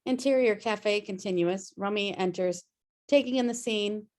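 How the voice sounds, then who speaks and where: A woman's voice: mid-range voice, moderate pace, a little mellow. A woman reading scripted stage directions as narrator of a table read over a video call.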